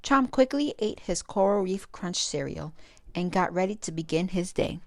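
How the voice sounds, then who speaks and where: A woman reading a children's storybook aloud as a storytime host, with expressive, careful phrasing. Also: on the low side, moderate pace, no echo, clean studio sound.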